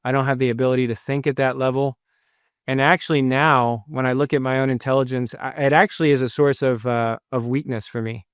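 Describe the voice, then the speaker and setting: A man's voice: medium pitch, fairly even intonation, brisk, clean tone, no room sound, clean studio sound. A man in his thirties, a pastor giving an earnest sermon with self-deprecating personal stories, sometimes retelling scripture in the first person.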